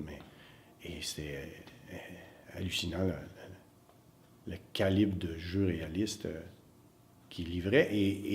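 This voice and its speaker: A middle-aged man with a very low voice. He is an acting teacher answering interview questions in a reflective way. He pauses often and repeats words as he hunts for the right phrase. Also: darkish tone, slight background noise. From Northern Ontario. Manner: hesitant, many fillers and repeated words.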